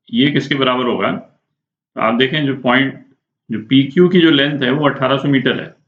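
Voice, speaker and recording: A man's voice: mid-range voice, slight background noise. An Indian man teaches like a physics tutor working through a problem aloud. He explains informally, often checking in and asking himself questions. The sound is roomy and somewhat muffled. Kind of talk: spoken lesson working through a problem step by step. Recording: echoey room, dull muffled sound.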